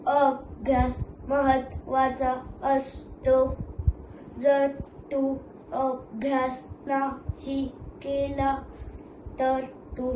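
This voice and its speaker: A strict, studious robot companion in an amateur stage play, acted out by a young performer. The words come slowly, one by one, in a high voice, in an echoey room. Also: darkish tone. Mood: stern. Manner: halting, word-by-word robotic delivery. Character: earnest robot friend, slow, studious, strict. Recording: echoey room with faint noise.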